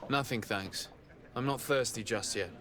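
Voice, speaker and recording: A man's voice: mid-range voice, normal speed, fairly bright tone, close to an everyday voice. An actor voicing a composed, courteous nobleman diplomat in a video game, polite and formal.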